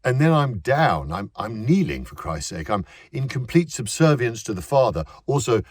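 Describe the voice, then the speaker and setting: A man voicing a self-important middle-aged male stage actor who talks in fussy, halting bursts. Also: mid-range voice, big pitch swings, slightly rough, no room sound, voice pushed up.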